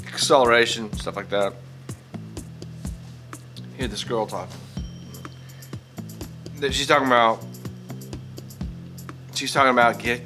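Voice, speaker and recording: A man in his fifties chatting casually to his vlog audience in a halting way, often restarting sentences and repeating words. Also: mid-range voice. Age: 50s. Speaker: vlogger. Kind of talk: casual rambling vlog chat.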